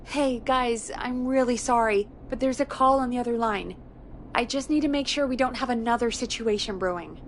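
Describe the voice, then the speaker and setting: A woman's voice: fairly high pitch, moderate pace, a little hiss or hum, close to an everyday voice. A woman playing a friendly, supportive radio show producer in dramatic game voice acting. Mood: sympathetic.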